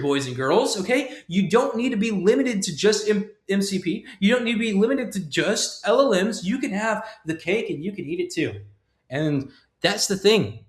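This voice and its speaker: A middle-aged man giving an informal, emphatic, rambling tech talk, punctuated with short checking questions. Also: sits high. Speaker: software developer presenting own project. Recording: echoey room.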